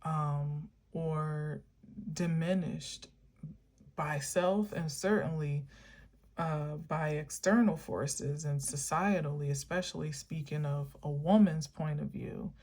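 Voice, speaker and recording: Woman vlogger, low-pitched, slow and meandering, earnest spiritual musing. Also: fairly even intonation, darkish tone. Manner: meandering, musing, many filler words; earnest, encouraging. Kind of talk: reflective spiritual monologue.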